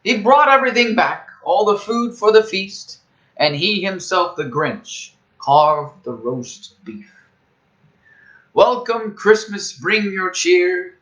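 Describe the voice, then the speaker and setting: A man with a fairly high, bright voice tells a rhyming children's story. He takes his time, landing phrases with theatrical emphasis in a measured, expressive storyteller's delivery. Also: poor audio.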